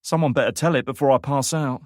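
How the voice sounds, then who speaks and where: A man's voice: medium pitch, steady tone, quick pace, a little mellow, dry recording, studio quality, voice pushed up, natural voice. A man voicing a curious, wisecracking young male teammate who coaxes and teases. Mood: teasing. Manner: teasing, coaxing.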